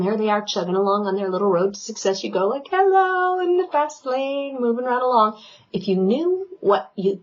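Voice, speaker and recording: A woman vocal coach giving an online singing lesson, talking in a loud, animated and playful way. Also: medium pitch. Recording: dull lo-fi sound.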